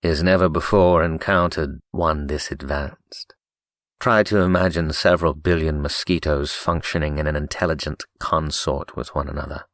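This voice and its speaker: A man voicing a calm, clinical male android in an audiobook, speaking in a measured, formal, detached way. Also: a bass voice, no room sound, studio quality. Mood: cold, detached. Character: calm, clinical male android science officer, coldly logical. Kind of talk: audiobook character acting.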